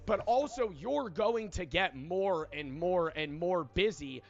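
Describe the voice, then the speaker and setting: Lively, high-voiced man in his twenties. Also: slow. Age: 20s. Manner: emphatic, frequent swearing. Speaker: online video creator. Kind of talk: motivational monologue. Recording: dull lo-fi sound from a played video.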